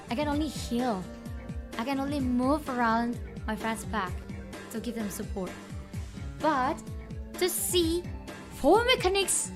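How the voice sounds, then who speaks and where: A woman with an Indonesian accent commentates a game live, chatting playfully and informally with viewers and often repeating her phrases. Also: sits high. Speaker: esports livestream caster. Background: music.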